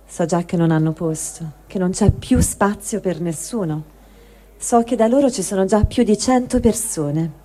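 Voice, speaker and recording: A woman's voice: mid-range voice, moderate pace, warm tone. A woman giving a dramatic reading of a first-person written testimony. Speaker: reader of a translated testimony.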